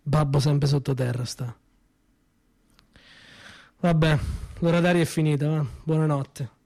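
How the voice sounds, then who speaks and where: A young adult man, performing a first-person stage monologue in a conversational, hesitant way, repeating words and dropping softly at times. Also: on the high side, steady tone, unhurried, creaky, slight background noise.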